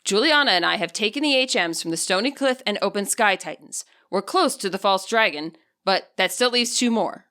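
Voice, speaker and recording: Blunt teen boy read by a woman, terse, insistent, forceful edge, for story narration. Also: mid-range voice, animated, moderate pace, hardly any pauses. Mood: demanding.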